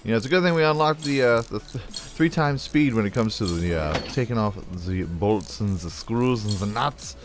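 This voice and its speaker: A man's voice: medium pitch, normal speed, hardly any pauses, faint music behind. A man casually commenting on a mechanic game he plays, joking and talking to the parts as he removes them. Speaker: gameplay commentator. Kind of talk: casual let's play commentary.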